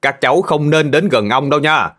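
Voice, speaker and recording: A man's voice: on the high side, brisk, close to an everyday voice. A man voicing a friendly farm-owner uncle who patiently teaches children in an educational cartoon dub. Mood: friendly, instructive. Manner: patient, explanatory, addressing children gently.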